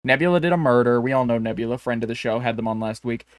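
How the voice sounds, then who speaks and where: A man's voice: mid-range voice, fast talker, no room sound, studio quality. A man co-hosting a podcast in casual chat, bantering jokily and swearing freely. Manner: profane, jokey bantering. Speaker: podcast co-host.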